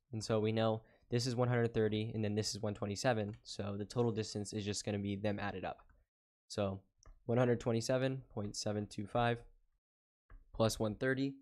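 Guy with a kinda low voice walking thru a math problem step by step, lots of little uhs. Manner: steady step-by-step explaining with fillers. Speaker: math tutor. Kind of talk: whiteboard lesson walkthrough.

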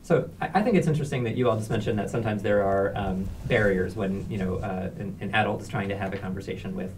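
A man's voice: lowish voice, fast. Young male interviewer, slightly distant sound. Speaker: interviewer, co-host. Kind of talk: panel interview questions. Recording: slightly distant, faint background noise.

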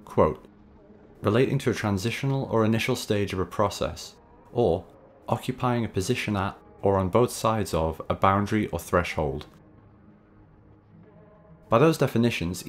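A man hosting a video essay, reading scripted narration in a measured, wry, conversational way. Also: lowish voice, a little hiss or hum. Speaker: host and narrator of a video series. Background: background music.

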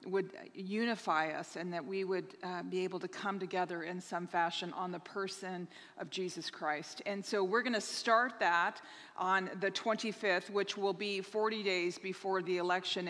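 A woman's voice: medium pitch, a bit bright. Earnest woman pastor in a reverberant church. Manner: earnest, with frequent restarts and fillers. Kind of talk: church announcements to online congregation. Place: church sanctuary stage at a lectern.

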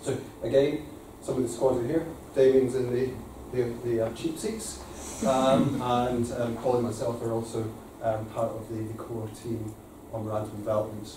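A man with a Scottish accent presenting to a council panel in a relaxed, self-deprecating way with many fillers. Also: mid-range voice, normal speed, noisy background. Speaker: company managing director presenting. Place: large council committee room. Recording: echoey committee room from far off.

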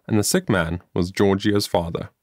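A man's voice: sits low, steady tone, normal speed, no echo, studio quality. A man narrating a children's moral story as an audiobook, reading earnestly and expressively from a script.

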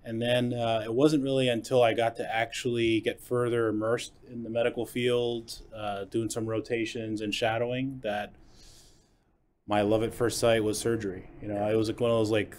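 A man's voice: medium pitch, flat delivery, normal speed, a little mellow. A man in his forties from New Jersey, a surgeon guest talking in a friendly, easy way at a desk microphone in a studio. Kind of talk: casual interview. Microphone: desk microphone on stand.

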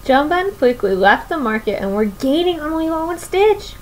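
A woman's voice: high-pitched, very animated, normal speed, a little hiss or hum. A young woman reading a picture book aloud to children expressively, often putting questions to her listeners. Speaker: children's story reader. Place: small room at home, indoors.

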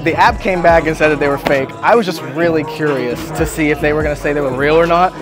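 A man's voice: on the high side, fast talker. A young man in his twenties hosting a prank vlog, talking laid-back and slangy with plenty of swearing, on a lapel mic inside a convention hall. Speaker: YouTuber host. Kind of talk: casual prank vlog chat. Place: indoor sneaker convention hall. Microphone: clip-on lapel mic. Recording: loud crowd and music behind.

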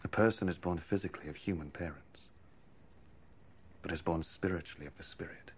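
An earnest holy teacher in a biblical film, with a deep voice. He declares his teachings with quiet authority. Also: brisk, slight background noise. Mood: calm, earnest. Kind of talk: film drama acting.

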